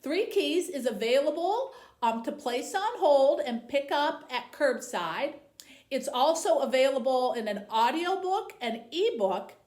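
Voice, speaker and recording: A woman librarian reading a children's novel aloud and hosting for young viewers, with an expressive storytelling delivery. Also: sits high. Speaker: librarian host. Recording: roomy, echoey indoor sound.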